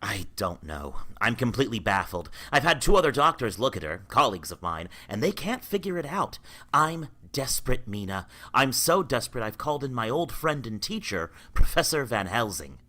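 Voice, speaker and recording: Bright, animated, earnest doctor played by a man. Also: mid-range voice, moderate pace. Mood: desperate. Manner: earnest, urgent, theatrical. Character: desperate, baffled male doctor, earnest and courteous. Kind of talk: vintage radio drama acting.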